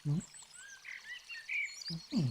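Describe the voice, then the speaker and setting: A young man chatting casually on a livestream in a rambling way, sometimes slipping into soft baby talk. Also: very slow, background noise. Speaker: live streamer.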